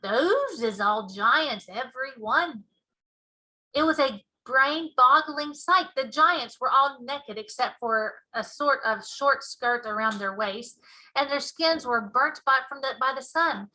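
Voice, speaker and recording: High, bright-voiced woman reading a storybook. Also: measured pace, rough recording. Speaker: teacher reading aloud. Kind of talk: expressive children's storybook narration.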